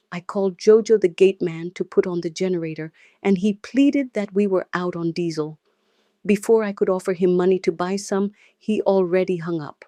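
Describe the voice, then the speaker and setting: A woman's voice: on the low side, mellow, no room sound. A woman with a Nigerian accent telling a dramatic first-person story as its narrator.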